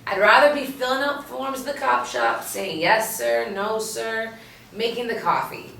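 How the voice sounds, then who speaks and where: A woman's voice: on the high side, unhurried, creaky, fairly bright tone. An actress reading the part of a wry, seasoned policewoman and tracker in a screenplay table read, dry and teasing. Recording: roomy, echoey, distant mic.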